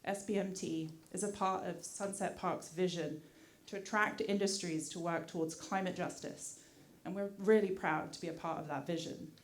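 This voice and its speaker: Woman, British-tinged accent, lowish voice, community affairs director at a podium mic, polite and scripted. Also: steady tone, normal speed, hardly any pauses. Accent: British with some American mix. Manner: polite, thankful, with frequent "uh" fillers. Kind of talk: prepared public remarks at a community meeting. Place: community meeting hall.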